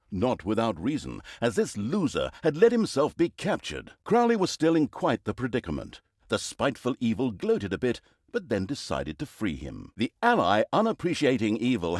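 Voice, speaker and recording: Sardonic fantasy game narrator, hugely animated. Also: male voice, mid-range voice, no room sound. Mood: wry, theatrical. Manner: pompous, dry, sarcastic, exaggerated storytelling delivery. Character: sardonic male omniscient narrator of an evil overlord fantasy game. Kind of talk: theatrical, mock-epic storybook narration with snide asides.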